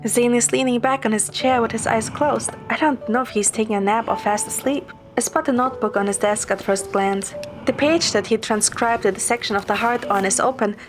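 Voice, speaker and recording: Female story narrator, fairly high voice, scripted first-person reading with clear music underneath. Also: fairly even intonation, a little fast. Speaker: story narrator, amateur voice performer. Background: clear background music.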